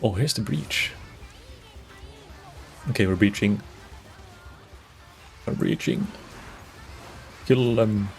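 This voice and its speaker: A man's voice: on the low side, slow, creaky, pauses often, soft background music. A Swedish man streaming a game, chatting casually and rambling to viewers. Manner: rambling, chatty, greets viewers, frequent restarts. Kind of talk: casual live-stream chat while gaming.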